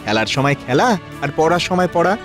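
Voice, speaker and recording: A man playing a kindly, protective divine elder brother in a children's cartoon, reassuring and gently instructive. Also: fairly high pitch, animated, brisk, music in the background, close to an everyday voice.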